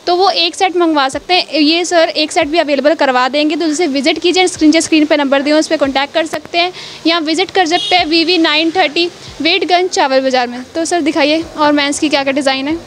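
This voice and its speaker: A woman's voice: high voice, steady tone, fast, hardly any pauses, slight background noise. A young woman as a video reporter in a shop, talking into a handheld mic with gushing, upbeat sales patter. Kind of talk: promotional shop tour. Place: indoor wholesale shop.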